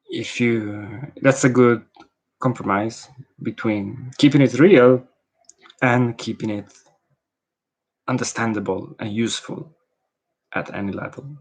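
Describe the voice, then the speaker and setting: A man from Italy with an Italian accent, a language teacher answering viewer questions casually, thinking aloud with frequent pauses. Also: lowish voice.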